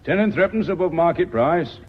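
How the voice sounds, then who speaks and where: Bullying mill boss in a radio play: husky, curt, swinging delivery. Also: male voice, on the high side, lively intonation, fairly quick. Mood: brusque, confident. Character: rude, blunt, domineering Yorkshire businessman, managing director. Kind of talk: British radio drama acting. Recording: dull, muffled radio broadcast recording.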